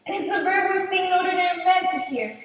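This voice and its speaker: Woman playing a high-voiced proud swamp witch, echoey stage. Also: fast talker. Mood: cruelly frank. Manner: theatrical, declamatory, with Spanish phrases. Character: proud, sly outcast swamp witch, mocking and knowing. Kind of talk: stage play acting. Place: large indoor theater stage, distant camera. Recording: echoey theater stage from far off.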